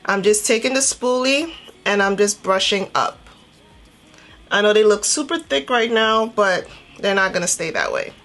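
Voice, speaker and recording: A woman from Trinidad and Tobago chatting casually as a beauty vlogger, telling a personal story in an animated, conversational way. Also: medium pitch, moderate pace, soft background music. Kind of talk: casual chatty storytelling while doing makeup.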